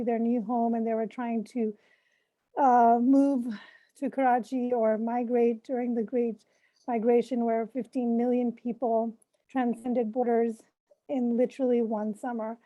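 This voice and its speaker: A woman who grew up in Pakistan, an artist and mentor, presenting her work in a halting way with many fillers. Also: fairly high pitch, dull audio.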